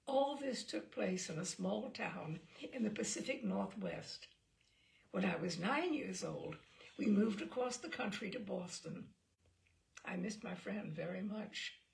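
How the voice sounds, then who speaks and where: A woman reading a story aloud as scripted narration, in an expressive, measured storytelling manner. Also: mid-range voice, creaky. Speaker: story reader.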